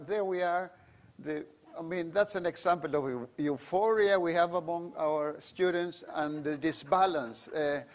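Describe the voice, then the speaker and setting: Halting conference speaker. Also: male voice, a little high, darkish tone. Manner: halting, many fillers and restarts. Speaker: conference presenter. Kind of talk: conference presentation. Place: conference room.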